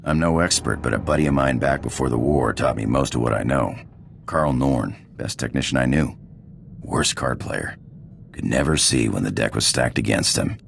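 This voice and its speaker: A man playing a cocky, roguish space pirate in a video game, with a gravelly drawl, casual slang and a flirtatious swagger. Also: normal speed, a little hiss or hum. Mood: breezy, storytelling. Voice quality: gravelly, very deep voice. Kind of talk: video game character acting.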